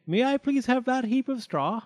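Man voicing a high, piping little girl pig. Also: animated, normal speed, a little mellow. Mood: pleading. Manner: piping, quivering, pleading. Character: little female pig, timid, polite, frightened but stubborn.

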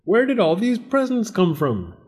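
A suspicious, bumbling landlord from a storybook , as a male reader plays him. His voice is pushed high and jumps about in pitch. He is blustery and emphatic, stretching out the words that matter to him. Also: sing-song. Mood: excited, startled. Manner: exclamatory, emphatic, drawn-out key words.

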